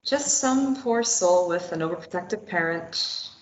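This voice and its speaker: This is a woman voicing a wry, stubborn young woman who plays everything down. Her delivery is dry and unhurried. The sound is roomy and muffled, like a lo-fi recording. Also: on the low side, lively intonation, slight background noise. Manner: dry, understated. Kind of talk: table read of a stage play.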